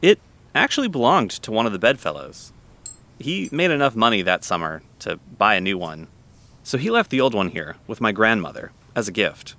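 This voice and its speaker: An actor playing a chatty, easygoing cafe owner in an audio drama, talking casually. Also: male voice, on the low side, very animated, moderate pace, bright, forceful tone, heavily acted. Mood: relaxed, chatty. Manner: casual, conversational. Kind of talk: naturalistic audio drama acting.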